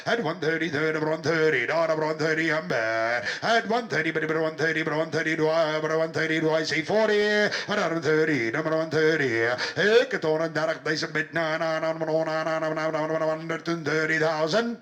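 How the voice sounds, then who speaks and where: A man's voice: on the high side, a little fast, few pauses, fairly bright tone. A man working as a livestock auctioneer, rattling off bids in a rhythmic chant and switching between English and Afrikaans.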